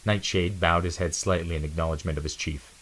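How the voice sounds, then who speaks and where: A man's voice: low voice, steady tone, quick pace, a bit bright. A man giving a dramatic narration of an adventure storybook.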